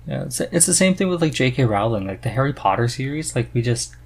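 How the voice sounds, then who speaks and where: A man hosting a podcast in casual chat, halting as he searches for words. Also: medium pitch, animated, gravelly. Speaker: podcast host.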